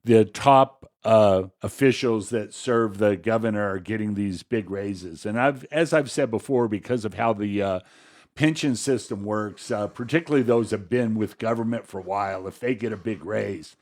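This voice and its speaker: A man's voice: lowish voice, normal speed, a little mellow. A man co-hosting a political talk podcast, commenting in a casual, conversational way and explaining things in plain everyday terms. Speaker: podcast co-host.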